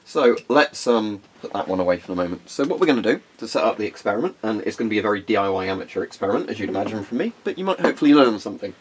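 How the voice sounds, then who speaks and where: A man's voice: fairly low pitch, lively intonation, racing, hardly any pauses, a little hiss or hum. A young man with a congested, phlegmy voice, casually demonstrating equipment in a carpeted room and rambling with frequent ums. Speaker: hobbyist video host.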